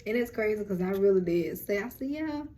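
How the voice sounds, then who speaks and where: A young woman with a deep voice, hosting a rambling, casual lunchtime chat on her vlog. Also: expressive, a little fast, mellow, a little hiss or hum. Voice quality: low, deep voice. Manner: chatty, rambling, self-described yapper. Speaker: vlog host.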